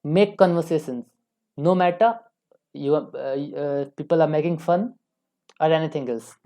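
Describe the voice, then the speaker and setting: Young indian woman doing a casual english lesson, deep-ish soft-toned voice, repeats stuff to stress it. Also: normal speed. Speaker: online English teacher. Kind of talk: informal instructional lecture.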